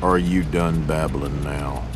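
An actor with a Southern US accent playing a bullying redneck tow truck gang leader, folksy and scornful, in a video game. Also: male voice, rumbling low, fairly even intonation, slow pace, loud music behind the voice. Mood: impatient, scornful.

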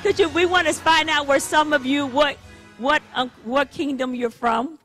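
A middle-aged woman pastor leading a church service on a handheld mic, chatty and loose, halting with fillers and restarts. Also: sits high, fairly even intonation, a bit bright, soft background music. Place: church sanctuary, indoors. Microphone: handheld microphone. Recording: long church hall reverb.

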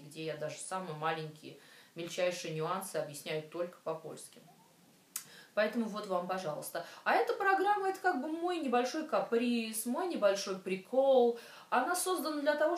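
A woman in her thirties teaching a language lesson straight to camera, talking casually with frequent hesitations and slowing down for careful examples. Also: medium pitch. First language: Russian. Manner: chatty, many hesitations, slow careful Polish examples. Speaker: Polish language teacher and show host. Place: small room, indoors. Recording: echoey room, voice from some distance.

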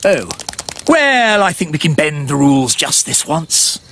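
A man voicing a bumbling, soft-hearted fairy king and father in a children's cartoon, genial, blustering and indecisive. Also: a little high, sing-song, unhurried, a little hiss or hum, heavily acted. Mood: easygoing, sheepish.

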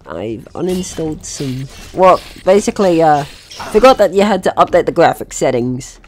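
A man's voice: fairly high pitch, animated, normal speed, slight background noise. A man giving casual gameplay commentary, chatting through his own actions in short remarks. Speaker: gaming video host.

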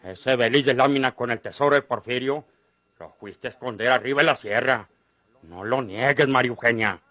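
Radio drama role, male performer: folksy, insistent northern Mexican schemer, average-pitched, wheedling, close dry recording. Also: moderate pace, pushed and bright, dry recording, close to an everyday voice. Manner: coaxing, folksy. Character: wheedling, insistent rustic schemer after treasure.

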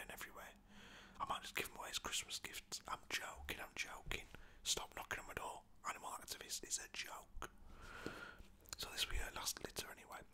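Casting: British-accented whisperer for chatty ASMR storytelling, cheeky and slangy, breathy, very sharp and sibilant tone. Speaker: storyteller talking about own pets.

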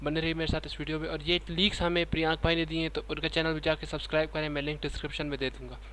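A man's voice: fairly high pitch, flat delivery, very fast, fairly bright tone, a little hiss or hum. A man introducing a video as a gaming channel host.

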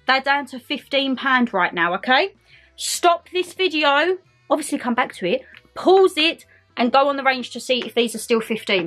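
A young woman, chatting casually to viewers in a haul vlog, a bit congested and hoarse from a cold. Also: sits high, a bit bright. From United Kingdom. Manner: bubbly, chatty, talks straight to viewers. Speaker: haul vlogger. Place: bedroom decorated for Christmas, indoors.

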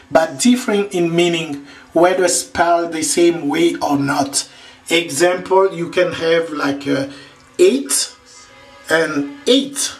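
A middle-aged man from Congo with a Congolese French accent, talking casually to camera in a home vlog, rambling and repeating himself with many fillers. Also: high voice. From Democratic Republic of Congo. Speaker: video host. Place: small home bathroom, indoors. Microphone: camera mic from across the room. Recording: roomy echo, distant camera mic, music behind.

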